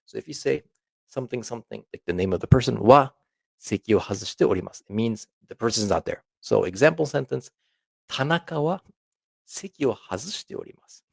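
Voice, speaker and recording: A man's voice: mid-range voice, lively intonation, fairly quick, gravelly, slightly rough, no echo. A man teaching an informal language lesson, explaining example phrases conversationally and often checking in with the listener.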